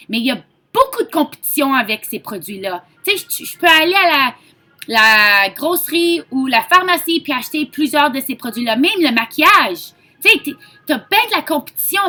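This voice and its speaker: A Franco-Ontarian woman with a high, bright voice talks casually as a sales consultant. Her delivery is chatty and informal, with English words slipped into her French. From Ontario, Canada. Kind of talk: casual sales pitch to camera.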